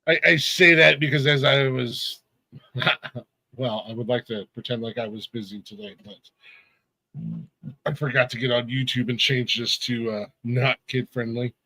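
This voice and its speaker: A man's voice: mid-range voice, fairly even intonation, measured pace, sharp tone, dry recording, low-quality recording. A man in his forties hosting a music podcast, chatting casually, stammering and laughing as he rambles. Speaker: podcast host. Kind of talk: casual chat.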